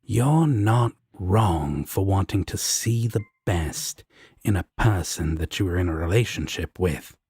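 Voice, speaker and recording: A man playing a protective, laid-back devil roommate in an intimate roleplay audio, speaking in a husky, breathy, affectionate drawl. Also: low-pitched, expressive, unhurried, darkish tone, dry recording, exaggerated voice. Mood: gently reassuring. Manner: drawling, affectionate, casual reassurance.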